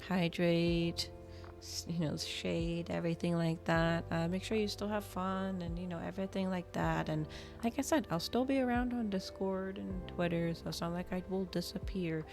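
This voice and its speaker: Woman, lowish voice, very creaky, casual livestream chat, rambling, thinking out loud. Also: fairly even intonation, normal speed, over music. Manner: rambling, trailing off, thinking aloud. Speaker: livestreamer hosting an ASMR and gaming channel.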